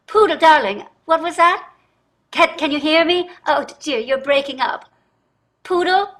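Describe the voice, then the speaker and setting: A woman's voice: high voice, measured pace, fairly bright tone. An actress in a staged play reading, playing a wealthy, entitled society wife and mother with an affected, gushing manner. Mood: anxious, gushing. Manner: affected, gushing, effusive endearments.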